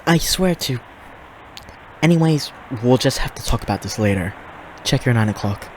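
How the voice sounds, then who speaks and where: A man's voice: medium pitch, expressive, moderate pace, a little hiss or hum, natural voice, rough recording. A man playing a flirty, teasing demon who reports and hosts in a fiction podcast. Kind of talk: fiction podcast banter and monologue.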